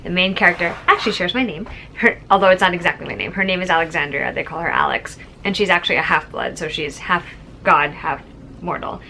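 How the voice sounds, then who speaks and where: A woman's voice: fairly low pitch, quick pace, vocal fry, pushed and bright, slight background noise, rough recording. A woman casually presenting book picks in a conversational, explanatory way, as the host of a vlog. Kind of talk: casual book recommendation chat.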